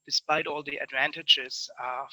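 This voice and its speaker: A German man with a German accent recaps an online conference in eager, piled-up phrases. His voice sits slightly high and rings very bright. The sound is dry and close, with no room around it. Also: steady tone, normal speed, no echo, rough recording. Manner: enthusiastic, lists things in chains of near-synonyms. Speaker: conference speaker summing up presentations. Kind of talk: spoken conference summary. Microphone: online conference call.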